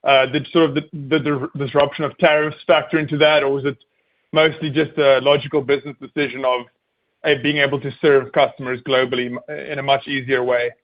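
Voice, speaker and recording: A man's voice: medium pitch, little pitch movement, fairly quick. Male analyst on a slightly dull call line. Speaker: financial analyst asking questions. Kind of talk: earnings call question.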